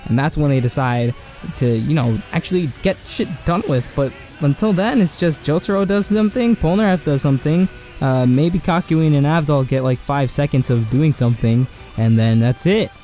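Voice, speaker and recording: A man giving a casual anime commentary, rambling and slangy with lots of filler words, his voice hoarse and cracking. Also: on the high side, lively intonation, moderate pace, warm tone, soft background music. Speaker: video essay host.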